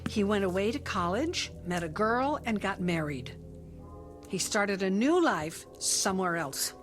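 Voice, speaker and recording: Casting: woman playing flustered middle-aged mom, brusque yet caring, lively intonation, naturalistic drama with score behind. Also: medium pitch, a little slow, faint music behind, lightly acted. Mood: subdued. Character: curt middle-aged mother living alone, flustered but kindly. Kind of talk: naturalistic screen drama acting.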